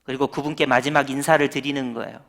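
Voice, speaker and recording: A man's voice: medium pitch, flat delivery, fast, fairly bright tone. A man preaching a Sunday sermon as senior pastor, in a conversational way that keeps asking the congregation questions.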